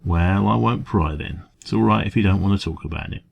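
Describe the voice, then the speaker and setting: A man with an English accent playing a kindly, gentle café dragon in an ASMR comfort roleplay, speaking in a hesitant, informal, reassuring way. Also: low-pitched, a little fast, vocal fry, a little hiss or hum. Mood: gentle concern.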